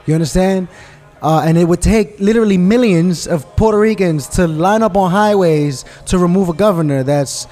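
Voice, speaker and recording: A man's voice: sits high, warm tone. A man from New York in a casual conversation, talking emphatically and often asking "you understand?" to check he is followed. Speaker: guest.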